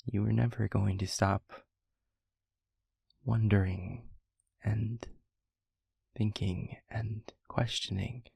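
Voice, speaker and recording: A man playing a gentle, reflective demon mentor in an intimate audio roleplay, speaking softly and haltingly in a low, breathy, gravelly voice. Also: fairly even intonation, no room sound, full character voice. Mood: tender, sincere. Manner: halting, intimate, soft-spoken with long pauses. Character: gentle reflective male demon steward, fondly confiding.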